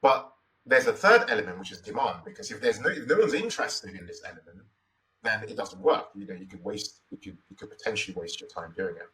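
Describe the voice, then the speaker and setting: A man's voice: fairly low pitch, lively intonation, quick pace, bright, forceful tone, far from the mic, a little hiss or hum, low-quality recording. A Nigerian man in his forties, telling his story as an interview guest in a thoughtful, reflective way with many "you know" fillers. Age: 40s.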